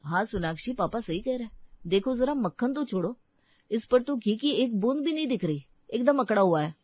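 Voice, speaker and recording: A woman's voice: fairly high pitch, expressive, normal speed, breathy edge, no room sound, lo-fi sound, voice pushed up. A woman playing a mild, respectful adult son who talks politely and hesitantly in a story. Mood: mild complaint. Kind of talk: Hindi audio story dramatization.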